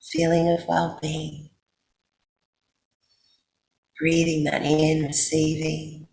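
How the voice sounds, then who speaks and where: A woman with an Australian accent guides a sleep meditation in a soothing, unhurried way, leaving long pauses. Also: low voice, completely flat delivery, creaky, darkish tone. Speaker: yoga nidra guide. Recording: roomy echo, faint background noise.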